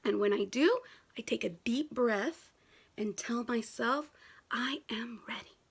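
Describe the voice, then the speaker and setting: A woman voicing a gentle, reassuring father in a picture book, soothing and encouraging a nervous young child. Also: fairly high pitch, measured pace, breathy edge. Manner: soothing, encouraging, simply worded.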